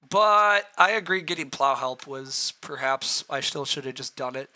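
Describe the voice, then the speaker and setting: A man with a rough, hoarse voice, streaming a board game, thinking aloud through his choices and answering chat as he clears his throat. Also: mid-range voice, expressive, unhurried, bright, forceful tone.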